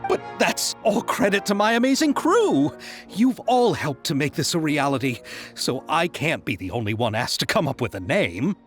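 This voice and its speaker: An actor playing a modest, earnest adult film director who politely shares credit. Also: male voice, a little high, big pitch swings, moderate pace. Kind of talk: video game voice acting. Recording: game audio with music.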